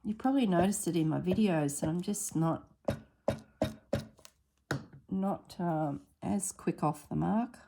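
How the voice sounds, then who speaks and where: A woman with a slightly husky voice narrating a casual craft tutorial at her table, thinking aloud as she works. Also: on the low side, noisy background. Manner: unhurried, thinks aloud while working. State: recovering from illness, slightly husky throat. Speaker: craft vlogger.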